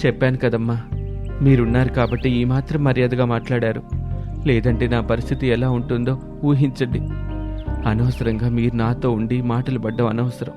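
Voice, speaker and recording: A man's voice: mid-range voice, little pitch movement, moderate pace, over music, natural voice. A man voicing a poor, humble but crafty village orphan who speaks deferentially and pleadingly in a moral story. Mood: resigned.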